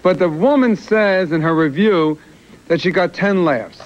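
A man's voice: high-pitched, unhurried, highs cut off, a little hiss or hum. A man who is a guest comedian and author, arguing his point step by step on a TV talk show. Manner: argumentative, laying out a challenge point by point. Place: TV studio set.

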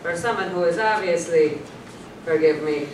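Guarded, devout nun, played by a woman, brisk theatrical delivery, roomy far-off recording. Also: lowish voice, darkish tone, lightly acted. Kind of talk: staged play reading, theatrical acting. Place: small indoor performance room with audience. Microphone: far camera. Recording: distant mic, roomy, faint noise.

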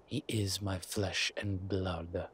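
Man playing a slow, low-voiced, suave ancient vampire. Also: level tone, fairly bright tone. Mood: grave, reluctant. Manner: measured, wry, theatrical, with deliberate pauses. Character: centuries-old male vampire, suave, wry, protective, comfort-loving. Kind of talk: scripted roleplay audio drama addressed to the listener. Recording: clear background ambience noise.

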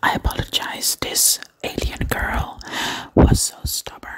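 A young adult woman hosting ASMR in a breathy whisper, repeating phrases softly, close to a desktop microphone. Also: unhurried. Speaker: ASMR channel host. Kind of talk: ASMR whispered personal attention role-play. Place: small room at home, indoors. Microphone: close desktop condenser microphone.

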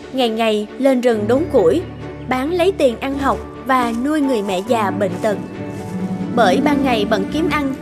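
A woman narrator reading a scripted fairy tale as a storyteller. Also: high-pitched.

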